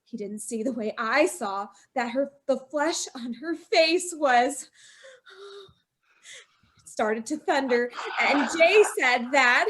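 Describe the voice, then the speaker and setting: A woman narrates a radio horror tale in the first person, her voice high. She sounds tense and haunted, and she climbs toward a frantic edge. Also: expressive, slow pace, a bit bright. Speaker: narrator and lead character. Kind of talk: old-time radio drama narration.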